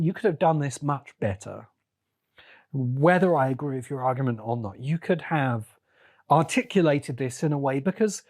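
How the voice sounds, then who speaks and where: A man with a mid-range voice speaks as the host of a solo podcast. He delivers opinionated commentary deliberately, breaking his sentences into short phrases and leaning on key words for emphasis. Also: medium pitch.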